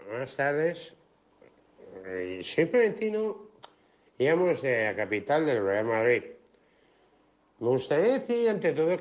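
A man's voice: on the high side, a little mellow. Man putting on a swooping, pompous football boss. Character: pompous brazen Real Madrid boss, parody prank caller. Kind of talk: satirical phone-in voice message. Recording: dull lo-fi voice message.

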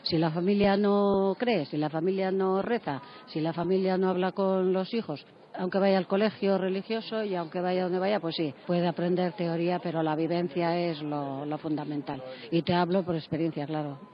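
A woman's voice: on the low side, steady tone, moderate pace, lo-fi sound. An older woman from the Basque Country, a pilgrim in a street interview, speaking earnestly from experience. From Basque Country, Spain.

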